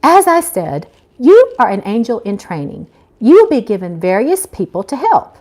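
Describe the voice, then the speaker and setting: A woman's voice: fairly high pitch, wildly swooping pitch, normal speed, a little mellow. A woman reading a story, voicing a prim, impatient older female angel mentor who instructs snippily. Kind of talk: live audiobook-style reading.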